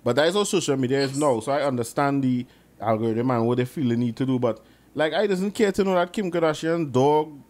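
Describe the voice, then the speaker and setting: A man's voice: mid-range voice, a little fast, a little mellow. A Trinidadian man hosting a casual podcast chat, loose and joking, swearing freely. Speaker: podcast host.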